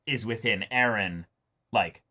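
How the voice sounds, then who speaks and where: A man co-hosting an anime reaction show, talking casually and excitedly, often repeating words as he thinks aloud. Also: fairly low pitch, unhurried. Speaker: co-host reacting to an anime episode.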